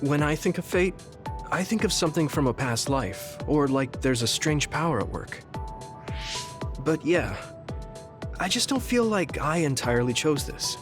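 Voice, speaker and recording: An actor playing an earnest, gentle, idealistic young soldier in a video game, talking sincerely and a little hesitantly. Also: male voice, on the high side, sing-song, a little slow, music in the background. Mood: thoughtful. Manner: sincere, conversational, slightly hesitant. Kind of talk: English video game voice acting.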